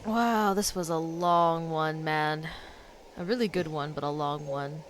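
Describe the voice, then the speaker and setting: A woman's voice: sits low, fairly even intonation, a little slow, noisy background. A woman streamer reacting casually to a game, with short, slangy remarks. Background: game music.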